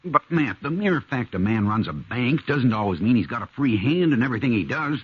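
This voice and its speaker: An actor playing an anxious, apologetic middle-aged banker in a 1950s radio western, with a pleading manner. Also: male voice, medium pitch, animated, fairly quick, faint music behind, close to an everyday voice. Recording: dull lo-fi old radio recording.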